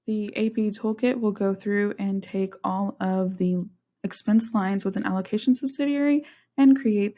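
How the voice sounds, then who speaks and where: Instructional woman narrating a software tutorial. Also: mid-range voice. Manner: instructional, step-by-step. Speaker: software demonstrator.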